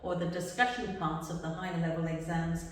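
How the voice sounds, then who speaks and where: A woman who trains teachers for speaking exams, answering questions in a relaxed, chatty way. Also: on the low side, brisk, a little hiss or hum. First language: English. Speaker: exam trainer. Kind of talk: casual Q&A discussion. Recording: echoey room from far off.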